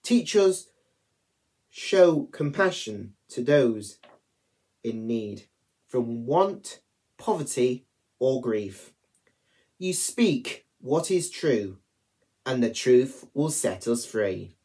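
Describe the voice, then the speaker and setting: Young male, prayer reader at online worship. Unhurried, deliberate phrasing, very varied intonation. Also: mid-range voice, animated, mellow. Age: young adult. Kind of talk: reading prayers aloud. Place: small attic room at home.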